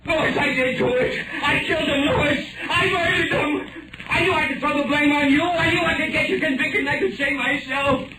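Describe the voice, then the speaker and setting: A hysterical, high-pitched guilty man, old radio horror. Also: normal speed. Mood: hysterical confession. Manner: hysterical, pleading, shouted. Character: guilty, terrified murderous male lawyer confronted by ghosts. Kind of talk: vintage radio horror acting. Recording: noisy, echoey, distant vintage radio recording.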